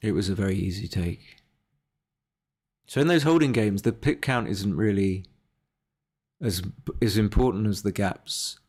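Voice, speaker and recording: A man's voice: on the low side, measured pace, pauses often, darkish tone. A man giving casual live game commentary as a streamer, hesitant and thinking aloud as he goes. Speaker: livestreamer analysing his backgammon games.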